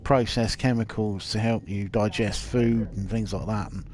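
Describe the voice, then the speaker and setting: A man with a nasal, bunged-up voice from hay fever, rambling hesitantly with many pauses while he streams a video game. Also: lowish voice, steady tone, a little mellow. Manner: hesitant, many ums and restarts. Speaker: livestreamer playing a video game. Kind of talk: casual rambling commentary over gameplay. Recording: game sounds and vehicle noise behind. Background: video game audio with vehicles.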